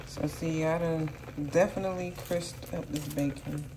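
A woman's voice: low-pitched, fairly even intonation, normal speed, noisy background. A woman vlogger, chatting casually to herself and her viewers while cooking in her home kitchen.

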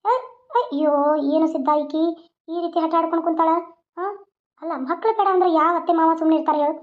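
A woman's voice: very high-pitched, fairly even intonation, fast, phone-quality sound. A woman voicing a blunt, boastful old grandmother who lectures in a rustic way with a North Karnataka accent. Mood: lecturing.

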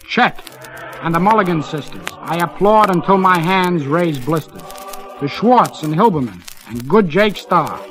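High-voiced male sponsor actor, effusive sing-song declaimer of rhymed greetings, very animated, old radio disc sound. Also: heavily acted. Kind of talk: declaimed comic verse, old-time radio. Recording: old scratchy acetate disc recording.

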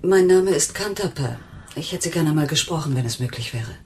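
An actress playing a troubled, anxious wife in a German radio crime drama, with a hesitant, brooding manner. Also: female voice, deep voice, lively intonation, brisk, a little mellow, slight background noise. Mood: tense, restrained.

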